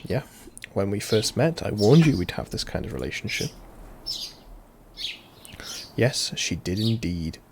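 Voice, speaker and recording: Guy doing a lets play, lowish voice, reads lines out dramatically w casual asides, noisy mic and birds chirping. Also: big pitch swings, unhurried, pauses often. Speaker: let's-player. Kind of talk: reading game dialogue aloud with casual commentary.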